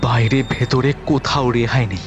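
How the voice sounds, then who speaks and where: A man's voice: medium pitch, fairly even intonation, moderate pace, highs cut off, natural voice. Guy playing a scared young man in a horror audio drama, urgent and pushy, repeats himself, music behind. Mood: desperate, resolute. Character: frightened but resolute young man urging his friend to flee. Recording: voice over background music.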